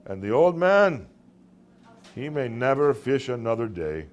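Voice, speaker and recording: A man reading the part of a wise old Cuban fisherman in a storybook tale, in emphatic short phrases with weighty pauses. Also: medium pitch, very animated, dull audio. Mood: wistful. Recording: live room recording with background noise.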